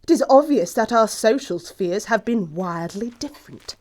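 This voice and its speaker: An actress plays a haughty young society lady with an upper-class English accent and a mid-pitched voice. She speaks precisely and pompously, with cutting politeness, in the style of a comic stage play. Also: expressive, normal speed, a little mellow, close to an everyday voice. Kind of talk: stage comedy acting in an audio play.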